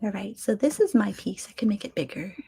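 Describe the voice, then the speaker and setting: A woman's voice: medium pitch, expressive, fairly quick, vocal fry, dark tone, breathy edge. A Vietnamese American woman speaking as the guest artist in a webinar, talking reflectively with many fillers and restarts, heard over a video call. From United States. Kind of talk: casual webinar talk.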